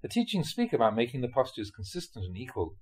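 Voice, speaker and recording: A man, a Buddhist monk, reads translated spiritual teachings aloud in a scripted audiobook style, with measured, deliberate phrasing in short clauses. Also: medium pitch, huge pitch swings, darkish tone. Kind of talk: scripted audiobook-style reading of dharma talks.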